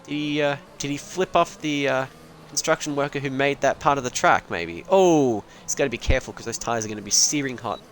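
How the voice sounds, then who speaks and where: A man's voice: medium pitch, a little fast, a little hiss or hum. A man casually commentating a sim racing league on a live stream, rambling and joking at his own expense and swearing freely.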